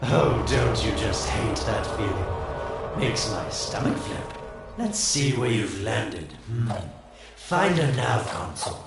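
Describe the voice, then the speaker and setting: Smug, gravelly, drawling schemer. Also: male voice, medium pitch, sing-song, slow, full character voice. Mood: amused curiosity. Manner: drawling, teasing, theatrical. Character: sly, smug male merchant villain, oily and mocking. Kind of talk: video game voice acting. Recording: very echoey, distant, music behind.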